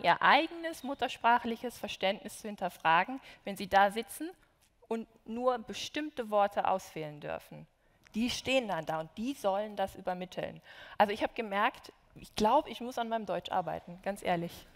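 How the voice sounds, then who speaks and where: A woman's voice: mid-range voice, animated, moderate pace, a bit bright. A woman on a university panel stage, speaking candidly and enthusiastically, with self-deprecating asides, about translating. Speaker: student translator. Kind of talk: panel conversation. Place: large university auditorium stage. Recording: long reverb in large hall.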